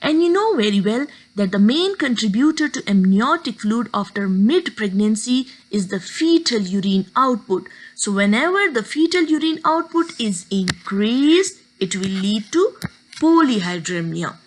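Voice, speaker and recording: A woman teaches a medical lecture, walking through each point step by step. Her voice sits high and moves a lot, rising and falling through the sentences. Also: a little slow, rough recording. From Pakistan. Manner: steady explaining, step by step.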